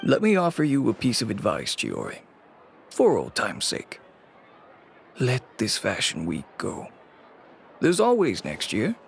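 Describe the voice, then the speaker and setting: A weary, gravelly shop owner. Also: male voice, on the low side, huge pitch swings, a little hiss or hum, heavily acted. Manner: measured, reluctant, lightly sighing. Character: weary middle-aged male fashion shop owner, cautious father. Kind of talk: video game voice acting.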